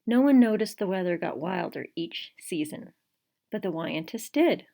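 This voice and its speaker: A woman reading her own children's picture book aloud in an expressive, dramatic storytelling style. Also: fairly low pitch, normal speed, creaky. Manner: rhyming, dramatic read-aloud.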